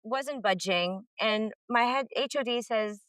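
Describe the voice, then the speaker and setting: A young woman from northern Lebanon, a middle school teacher, telling her story in an expressive, animated way and acting out the dialogue. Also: measured pace, dry recording. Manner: expressive, acts out dialogue with sudden pitch jumps.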